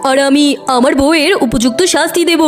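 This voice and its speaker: A woman's voice: high-pitched, fairly quick, lightly acted. A woman playing an earnest cartoon fox husband, reasoning and pleading in a conciliatory way. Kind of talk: dramatic cartoon voice acting.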